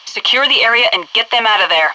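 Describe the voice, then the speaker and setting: An actress playing a composed, commanding headquarters officer who gives crisp, businesslike mission orders over military radio in a video game. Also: female voice, fairly high pitch, expressive, fast talker, noisy background.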